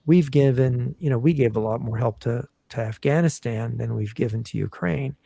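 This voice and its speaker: Podcast guest, male, gravelly and mellow, measured explainer, dry sound. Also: medium pitch, no room sound. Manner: measured, explanatory, many fillers and restarts. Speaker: guest analyst. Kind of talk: casual podcast interview.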